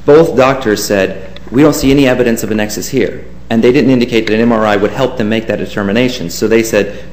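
A man's voice: mid-range voice, fast, a little mellow. A man giving a formal, deferential courtroom argument as an attorney. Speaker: government attorney. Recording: echoey courtroom with faint hiss.

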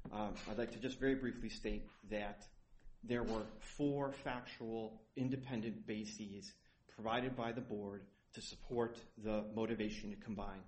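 A man presenting a respondent's argument as attorney in court, soft-spoken and prone to trailing off. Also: on the low side, slight background noise, rough recording.

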